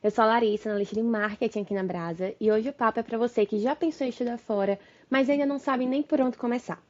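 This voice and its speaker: A woman's voice: fairly high pitch, fast. A young Brazilian woman hosting a podcast interview, asking friendly, upbeat questions. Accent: Brazilian Portuguese. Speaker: podcast host, marketing analyst. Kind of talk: casual interview chat. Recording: dull lo-fi sound.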